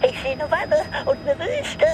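A woman's voice: high-pitched, very animated, unhurried, very noisy. A woman voicing a cheeky talking parrot in an audio play, speaking in sing-song rhymes. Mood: defiant, strained. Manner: sing-song rhyming speech.

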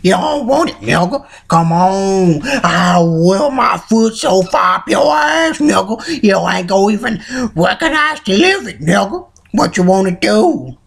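A man's voice: high voice, big pitch swings, measured pace, gravelly, few pauses, breathy edge, exaggerated voice. A man doing an impression of a belligerent blind old cartoon man who taunts and threatens to fight, recorded on a webcam in a small room at home. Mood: taunting. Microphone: webcam at arm's length.

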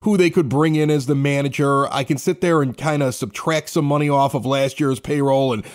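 A man's voice: mid-range voice, steady tone, brisk. A man hosting a sports podcast, speaking in a conversational, presenter-like way. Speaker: podcast host. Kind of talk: sports talk and sponsor reads.

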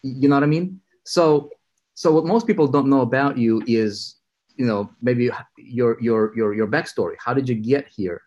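Man host, excited and rambling, mid pitch, video call sound a bit dull. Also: normal speed. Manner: energetic, enthusiastic, rambling with restarts. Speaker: interviewer, mentor. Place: indoor room with city view window.

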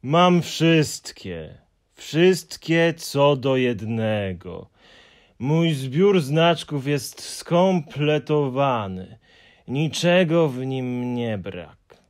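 A man's voice: mid-range voice, lively intonation. Hemulen: a man doing a gloomy fussy creature. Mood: glum. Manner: mournful, sighing, drawn-out complaints. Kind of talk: audiobook character voice.

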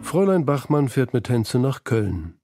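A man's voice: medium pitch, very animated, moderate pace, over loud music. A man reading scripted narration for a documentary feature.

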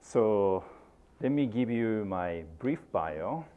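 A middle-aged man from Japan with a Japanese accent, giving a university lecture as a guest filmmaker. He speaks in halting phrases with many fillers and restarts, standing in a lecture room. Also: on the low side, expressive, phone-quality sound.

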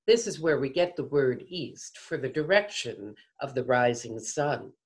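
A woman's voice: a bass voice, sing-song, measured pace, a little mellow. A woman in her sixties giving scripted storytelling for children, recorded over a video call from a room at home. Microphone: video call computer mic.

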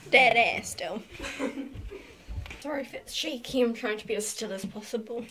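A woman's voice: on the high side, moderate pace. A young woman with a British accent chatting and teasing casually as she gives a handheld vlog tour indoors. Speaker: vlogger giving a house tour. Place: indoors, rooms of a holiday house. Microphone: handheld phone or camera. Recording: noisy handheld phone recording.

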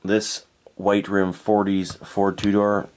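A man from Canada reviewing toy cars in a relaxed, unhurried way, thinking aloud and correcting himself as he goes. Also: fairly low pitch. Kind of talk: unscripted hands-on toy review. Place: indoors at a tabletop diorama.

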